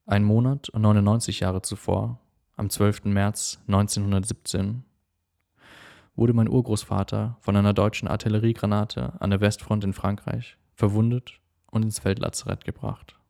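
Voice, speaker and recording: A man from Germany whose first language is English, giving calm, measured scripted narration for a personal documentary. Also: lowish voice, vocal fry.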